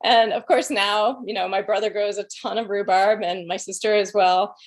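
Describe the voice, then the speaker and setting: A middle-aged woman talks as a guest in an easy, rambling way, chuckling between phrases. Her voice has a gravelly, fry-edged texture, and her pitch sits at an ordinary middle height. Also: fairly even intonation, normal speed. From Illinois, USA. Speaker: author and guest speaker. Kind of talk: conversational storytelling.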